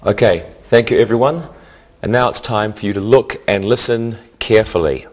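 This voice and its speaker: A man teaching an English grammar lesson in a scripted, deliberate, instructional way, enunciating carefully. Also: lowish voice, faint music behind.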